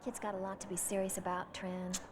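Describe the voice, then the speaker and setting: A woman voicing a concerned, down-to-earth teenage classmate in an animated series. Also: medium pitch, fairly quick, background noise, lightly acted. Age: young adult. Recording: music behind the voice.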